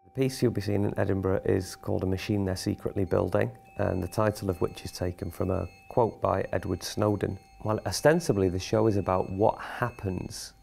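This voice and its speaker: Male theatre-maker, lowish gravelly voice, measured and reflective interview delivery. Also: fairly even intonation, darkish tone. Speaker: theatre company member. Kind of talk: explanatory interview talk.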